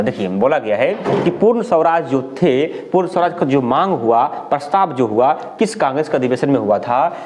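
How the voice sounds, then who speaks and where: Bihari guy teacher, kinda high voice, going thru exam questions, repeats answers and keeps quizzing. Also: darkish tone. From India. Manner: didactic, repeats answers and asks rhetorical check questions. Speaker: history teacher. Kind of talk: exam question-bank lesson, reading questions and answers aloud.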